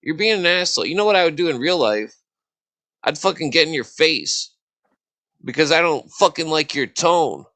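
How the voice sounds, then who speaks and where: A man's voice: a little high, expressive, normal speed, sharp tone, no echo. A man hosting a podcast, arguing in a combative, profane and blunt way, often cutting in over the other person. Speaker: podcast host. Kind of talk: heated argumentative livestream chat.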